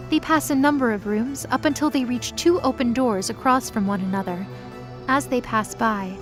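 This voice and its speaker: A woman reading scripted narration for an audio drama story. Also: a little high.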